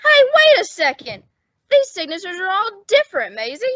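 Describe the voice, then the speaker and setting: An eager young bellboy sidekick played by a woman, high and bright in pitch and tone. The character is earnest and a little hesitant, stammering through old-time radio comedy lines. The recording is dull and lo-fi. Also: huge pitch swings, normal speed, no room sound, heavily acted. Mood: puzzled, excited.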